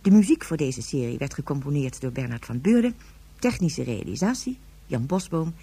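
Woman radio announcer reading credits, low mellow husky voice, lively melody. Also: normal speed. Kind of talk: scripted radio drama credits.

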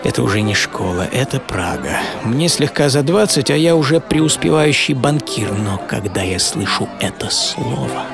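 An actor playing a melancholy, bitter banker and mystic writer, telling his life in a theatrical radio monologue with dramatic pauses. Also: medium pitch, lively intonation, creaky. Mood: bitter, agitated. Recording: loud music under the voice.

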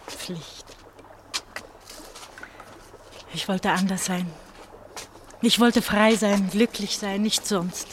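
An actress playing an anguished eighteen-year-old pastor's daughter in a German radio drama, brooding and halting. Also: female voice, medium pitch, slight background noise, natural voice. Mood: wistful, troubled. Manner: brooding, halting monologue with repeated phrases.

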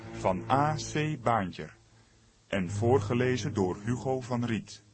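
A man makes a scripted audiobook announcement. His voice is mid-pitched, and music plays clearly behind him. The delivery is that of a title announcer. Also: lively intonation, slow pace.

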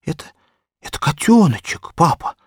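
Man voicing earnest little child, high-pitched. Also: very animated, moderate pace, slightly rough, no echo, studio quality, exaggerated voice. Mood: timid. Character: small earnest children, boy and girl, pleading and fussing. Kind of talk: audiobook character acting.

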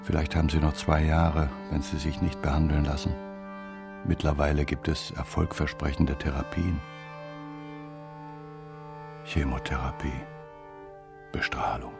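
Very deep, gravelly, grim older man. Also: level tone, measured pace, long pauses, full character voice. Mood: grim resolve. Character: gravely ill older man, bitter, resolute, refusing treatment. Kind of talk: radio drama inner monologue. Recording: loud music over the voice.

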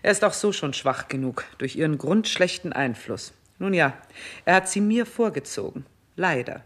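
A woman's voice: low-pitched, lively intonation, moderate pace. An actress playing a stern, scornful older queen in a 1960s radio play, with speech and an imperious, declamatory delivery. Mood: cold sarcasm. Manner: imperious, biting, declamatory, emphatic enumerations.

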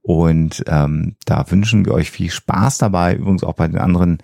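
A man co-hosting a podcast in a casual, chatty style, often pausing as he searches for phrasing. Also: low-pitched, gravelly, a little mellow, no room sound, studio quality. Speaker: podcast co-host.

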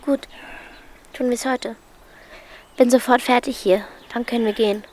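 Woman playing a high-voiced, feisty teenage girl. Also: fairly even intonation, measured pace, a little mellow, a little hiss or hum, close to an everyday voice. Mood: worried. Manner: blunt, impatient, slangy. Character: feisty thirteen-year-old girl caring for her grandmother. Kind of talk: naturalistic radio drama acting.

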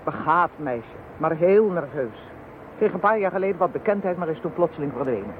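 Musing woman, swooping pitch. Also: animated, a little fast. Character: musing, faintly menacing female psychic tracker. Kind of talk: radio drama acting. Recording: tinny, band-limited, hissy radio play recording.

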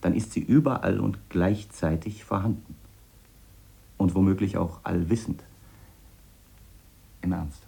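An eccentric scientist in a radio play, with a very deep, gravelly voice. He muses earnestly, explaining his obsession and admitting his doubts as he feels his way from thought to thought. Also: warm tone, heavily acted. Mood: frank, searching. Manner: reflective, halting, explanatory. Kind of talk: radio drama monologue in letter form.

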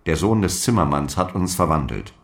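An actor playing a zealous apostle in a radio drama, declaiming solemn, archaic prophecies and defiant last letters. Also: male voice, very deep voice, expressive, fairly quick. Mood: solemn, fervent. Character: fiery apostle dictating zealous letters, defiant before his death.

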